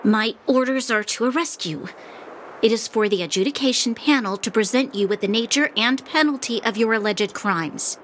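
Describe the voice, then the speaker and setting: An actress playing a stern, proud young military commander in a video game, formal and commanding. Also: female voice, fairly high pitch, moderate pace, fairly bright tone, a little hiss or hum, lightly acted. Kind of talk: English game voice acting.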